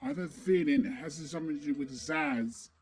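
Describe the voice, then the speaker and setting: Woman acting a stern female crimefighter, low, husky, mellow-dark, terse, determined, superhero drama. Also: huge pitch swings, fairly quick, exaggerated voice. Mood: stern, serious. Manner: terse, serious. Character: stern, determined female masked vigilante detective.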